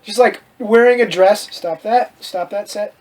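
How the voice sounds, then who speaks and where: A man's voice: high-pitched, unhurried, darkish tone. A man reading a story aloud in a casual comedic way, mock-dramatic and often breaking off to riff. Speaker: host reading fan fiction aloud with commentary.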